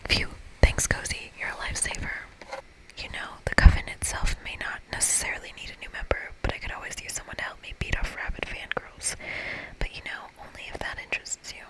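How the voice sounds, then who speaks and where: An ASMR reader acts out an exasperated female leader of a witches' coven in a visual novel. She is dry and speaks entirely in a breathy whisper. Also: brisk, lightly acted, poor audio. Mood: relieved. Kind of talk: visual novel game dialogue read as ASMR.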